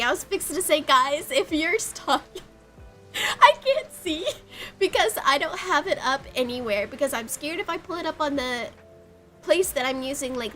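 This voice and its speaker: A woman's voice: sits high, sharp tone. A young woman with a stuffed-up, sniffly nose, chatting giggly and apologetic while streaming her art. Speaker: art livestreamer. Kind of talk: casual live-stream chat. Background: faint background music.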